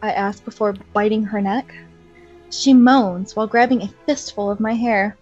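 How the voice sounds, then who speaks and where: A woman's voice: mid-range voice, fairly even intonation, normal speed, a little mellow, husky, highs cut off, faint music behind. A young woman reading fan fiction aloud in a mock-dramatic way and adding sarcastic, giggly comments between passages.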